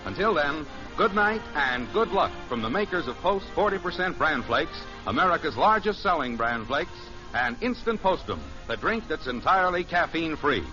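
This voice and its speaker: A man's voice: a little high, sing-song, normal speed. A man doing formal, emphatic 1950s scripted announcing to close a radio show. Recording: muffled old radio recording, faint music.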